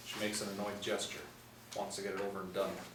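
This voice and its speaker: A man's voice: low voice, little pitch movement, fast. A middle-aged man reading stage directions as scripted narration at a screenplay table read. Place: small indoor room, seated group reading. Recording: roomy, distant mic, faint room noise.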